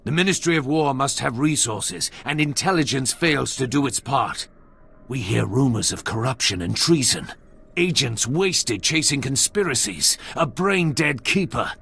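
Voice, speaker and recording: A cold Sith lord from a video game. The voice is husky and heavy with gravel, and he hands down each sentence as an imperious, deliberate pronouncement, full of threat. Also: mid-range voice, expressive, sharp tone, heavily acted. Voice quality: raspy, gravelly. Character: cold menacing male Sith lord, a ruthless military commander.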